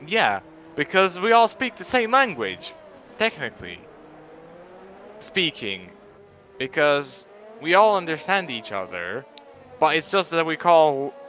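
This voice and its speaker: A man's voice: on the high side, bright, forceful tone, slight background noise. A man whose first language is Bosnian, chatting casually as a co-commentator on a sim racing livestream and laughing easily. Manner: chatty, laughs easily, jumps in over others.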